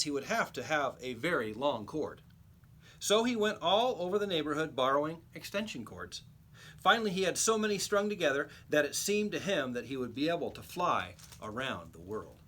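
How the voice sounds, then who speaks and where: A man reading a children's storybook aloud like a teacher, with expressive, emphatic storytelling. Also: on the high side, moderate pace.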